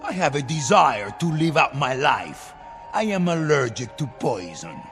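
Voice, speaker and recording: An actor playing a paranoid, bitter revolutionary general in a 1960s television drama, with a theatrical, deliberate, menacing delivery. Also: male voice, a little high, big pitch swings, a bit bright, exaggerated voice. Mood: suspicious, menacing. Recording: old TV soundtrack, echoing, music underneath.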